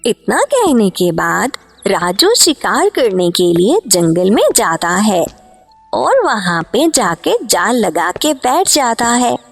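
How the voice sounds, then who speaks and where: A woman's voice: mid-range voice, big pitch swings, slow pace, slightly rough, soft background music. A woman reading scripted narration for a cartoon story, as the storyteller.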